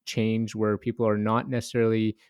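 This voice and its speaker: A man's voice: lowish voice, level tone, brisk, a little mellow, smooth, no echo, studio quality. A man hosting a podcast, speaking in an informal, conversational way. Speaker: podcast host. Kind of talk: podcast intro and casual interview chat.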